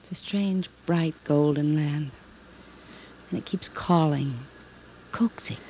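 A woman's voice: low voice, slow pace, mellow. An actress playing a lonely, world-weary, guarded young woman in a 1950s radio drama, on a noisy old recording. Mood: dreamy, lonely. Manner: wistful, evasive.

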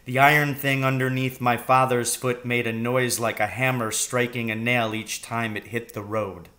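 A man's voice: medium pitch, flat delivery, normal speed, bright, forceful tone. A middle-aged man narrating a children's storybook, reading aloud. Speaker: reader. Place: room at home, indoors.

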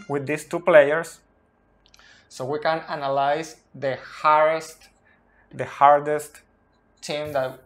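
A man in his thirties whose first language is Spanish, explaining football tactics off the cuff at a whiteboard, recorded on a phone in a small indoor room. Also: a little high. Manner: earnest, pointing at a tactics whiteboard while talking. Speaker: football analyst. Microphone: phone camera up close.

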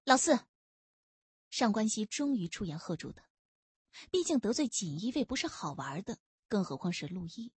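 A woman narrates a story in a markedly husky voice. Her pitch moves in a lively way from phrase to phrase, and the recording is close and dry. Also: moderate pace, no echo. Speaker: audiobook narrator. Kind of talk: expressive scripted novel narration.

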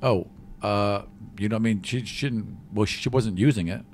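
A man's voice: lowish voice, normal speed. A man running a tabletop game, narrating the action in a casual, conversational, improvised way. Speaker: game master. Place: small room, players around a table. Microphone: microphone on the table in front of him.

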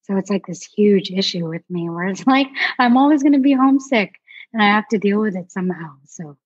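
Husky young woman, dry close sound. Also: mid-range voice, brisk, dry recording, highs cut off. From Alaska. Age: young adult. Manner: relaxed, rambling, many fillers. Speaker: guest, artist. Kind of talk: casual interview chat.

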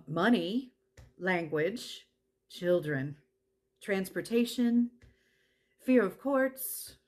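A woman's voice: medium pitch, darkish tone. An actress playing a passionate, plain-spoken woman who runs a support center, in dramatized testimony. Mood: urgent. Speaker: actor performing a monologue.

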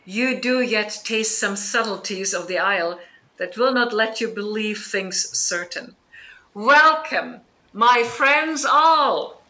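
Slow, bright-voiced exiled duchess, acted by a woman. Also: medium pitch, animated. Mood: gracious welcome. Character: dignified exiled duchess, stern yet forgiving mother. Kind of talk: Shakespearean audio drama acting.

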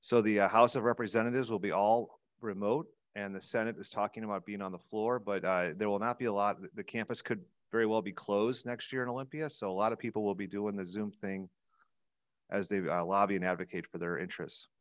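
A middle-aged American man with a fairly low voice talks casually on a panel. His headset keeps the sound close and dry, as he passes on information in a conversational way. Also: fairly even intonation, a little fast, no room sound. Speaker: legislative advocate and show panelist. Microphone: headset on a video call.

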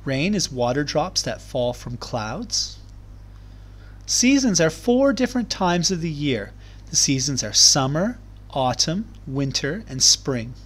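A man reading aloud to young children as a teacher, explaining things in clear, simple terms. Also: a little high, very animated, takes it slowly, fairly bright tone. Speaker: primary school teacher. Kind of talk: children's read-aloud lesson.

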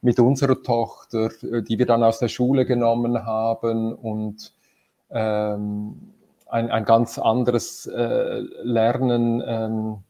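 A man hosting a video call from a room at home, talking with many fillers and pauses as he searches for words. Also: mid-range voice, completely flat delivery, warm tone. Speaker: host. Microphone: video call webcam.

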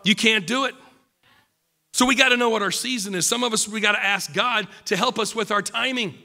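A middle-aged man preaching at a pulpit, emphatic and joking, a little croaky from a cold. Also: high-pitched, brisk, pushed and bright, in a large hall. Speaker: pastor. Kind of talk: sermon. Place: church stage at a wooden pulpit. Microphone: podium mic.

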